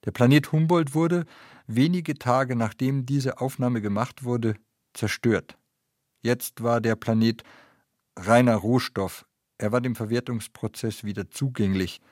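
Man, medium pitch, close dry sound. Measured, factual narration of literary text. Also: a little mellow, no room sound.

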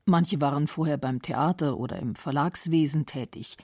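A woman reading narration for a radio documentary feature in a calm, precise way. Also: sits low, normal speed, no echo, clean studio sound.

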